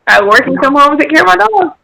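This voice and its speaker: A woman's voice: high voice, big pitch swings, quick pace, gravelly, over a noisy crowd, rough recording. A woman in her thirties from North Carolina, introducing herself casually with chuckles. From Raleigh, North Carolina. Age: 30s. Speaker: podcast guest.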